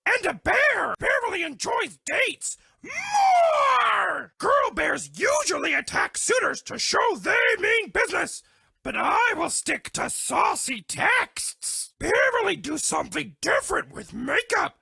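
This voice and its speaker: A sweet, melodramatic she-bear from a dating game, extremely gravelly and often close to shouting. She takes her time over broken third-person English, gushing like a delicate romantic. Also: extremely high pitch, very animated, slow pace, no room sound, full character voice. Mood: earnest, coy. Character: sweet dramatic lovestruck female bear, talking in broken English. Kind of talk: cartoon dating game character lines.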